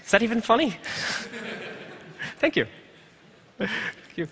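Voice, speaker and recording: Man in his twenties, fairly high, forceful bright voice, joking and rambling talk, reverberant lecture hall. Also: big pitch swings, a little slow. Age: 20s. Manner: rambling, wry, full of fillers and asides. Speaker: conference speaker. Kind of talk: self-deprecating joking talk.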